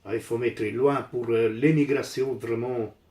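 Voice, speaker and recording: A man's voice: medium pitch, fairly even intonation, moderate pace, a little mellow. Older Italian-accented man, roomy echo. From Italy. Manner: blunt, emphatic, repeats phrases. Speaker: amateur DIY vlogger. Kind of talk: casual rambling chat while doing repairs.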